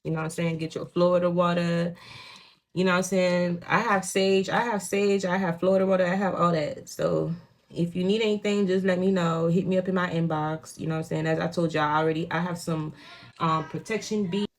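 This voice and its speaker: A woman's voice: fairly low pitch, fairly even intonation, a little fast. Profane Haitian-accented woman reading tarot. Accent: Haitian Creole. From Haitian home. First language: Haitian Creole. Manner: blunt, profane, frequent "you know what I'm saying". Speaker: tarot reader. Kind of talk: casual spiritual reading.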